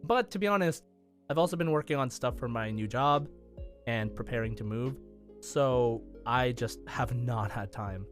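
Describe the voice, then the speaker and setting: A man's voice: medium pitch, moderate pace. A man co-hosting a gaming podcast, chatting casually in a rambling way with music behind him. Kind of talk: casual chat.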